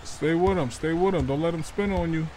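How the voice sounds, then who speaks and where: A man's voice: on the high side, a little mellow. A man role-playing a mic'd-up basketball player, calling out short hyped commands to his teammates over in-game chatter. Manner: hyped, urging teammates with quick short calls. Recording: noisy game audio underneath.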